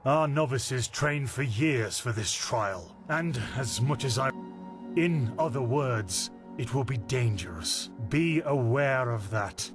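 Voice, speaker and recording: A man acting a stern, weary veteran warrior mentor in a fantasy video game, gruff and measured. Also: mid-range voice, lively intonation. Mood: gruff, skeptical. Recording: game music behind the voice.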